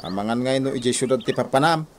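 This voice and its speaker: A man's voice: mid-range voice, fast, slight background noise, lightly acted. A man playing an easygoing, teasing village woodcutter bantering in a radio comedy drama. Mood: amused. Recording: music under radio drama dialogue.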